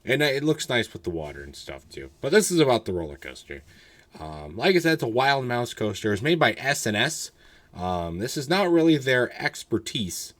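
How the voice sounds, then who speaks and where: A hoarse young man casually reviewing a roller coaster, rambling with many fillers and blunt, candid asides. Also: mid-range voice, big pitch swings, moderate pace, fairly bright tone.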